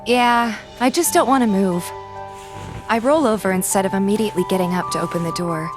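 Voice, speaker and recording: A woman's voice: medium pitch, fairly quick, music in the background, lightly acted. An actress playing a sulky but fond young woman, narrating in the first person and acting out her grumbling lines. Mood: glum. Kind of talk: first-person story narration with acted dialogue.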